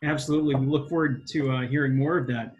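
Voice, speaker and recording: A man's voice: medium pitch, steady tone, quick pace, dull audio, slight background noise. A man hosting an online panel discussion over a video call, upbeat and encouraging as he hands the floor around. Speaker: panel moderator.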